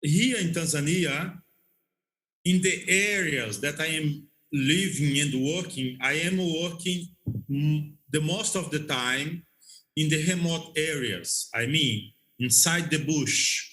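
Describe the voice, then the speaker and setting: A man who is a non-native English speaker, telling stories as an interview guest in a halting, repetitive way and checking that he is understood. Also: a little high, pushed and bright, low-quality recording. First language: not English. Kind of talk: conversational storytelling.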